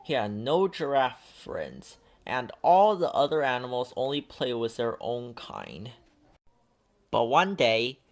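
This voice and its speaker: A man reading a children's picture book aloud in a deliberate, expressive storytelling manner. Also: mid-range voice. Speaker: storybook reader.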